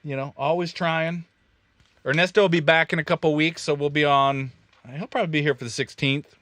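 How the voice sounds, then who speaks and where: A man's voice: a little high, fairly even intonation, a little fast, fairly bright tone, no room sound. A man from Kansas, hosting a casual studio livestream and explaining his drum miking in a rambling, self-correcting, joking way. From Kansas, USA. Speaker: recording studio owner and livestream host. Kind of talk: casual technical livestream chat. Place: recording studio control room.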